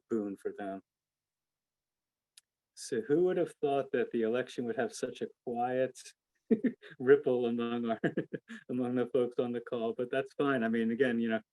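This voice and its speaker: A man hosting an investment conference call, speaking off the cuff in a halting, hedging, conversational way. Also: mid-range voice, low-quality recording. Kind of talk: unscripted conference call remarks.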